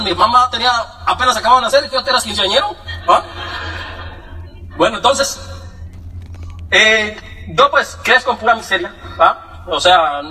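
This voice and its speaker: Young Mexican-accented man, high bright voice, comic storyteller at a talk, heard from a distance. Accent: Mexican Spanish. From Michoacán, Mexico. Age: young adult. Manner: joking, animated, acts out quoted voices. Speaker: speaker giving a testimony.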